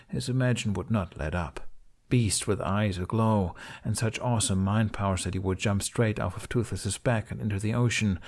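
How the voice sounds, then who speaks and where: Male audiobook narrator, fairly low pitch, expressive scripted storytelling for fantasy fiction.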